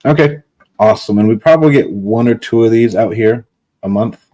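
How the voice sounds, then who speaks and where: A man's voice: mid-range voice, a little fast, dark tone. A man from California, a real estate investor, chatting casually on a phone call.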